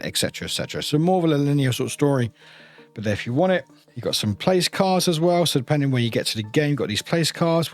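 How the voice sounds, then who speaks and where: Guy doing a casual unboxing, keen and kinda rambly, mid voice, bit of music behind. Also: mid-range voice. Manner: enthusiastic, rambling. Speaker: reviewer and unboxing host.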